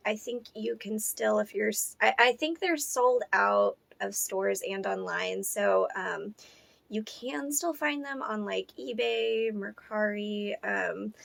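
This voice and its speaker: A hoarse woman in her thirties talks casually through an unboxing. Her voice has a gravelly fry, and she fills her sentences with ums, likes and restarts. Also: medium pitch, slow, dry recording. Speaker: doll collector vlogger.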